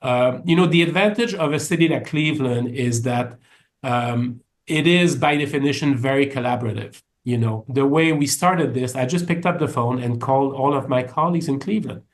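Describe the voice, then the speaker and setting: A man's voice: medium pitch, normal speed. A man with a French Canadian accent, answering interview questions in a thoughtful, conversational way with frequent restarts. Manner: thoughtful, many restarts and fillers. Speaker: orchestra executive, guest.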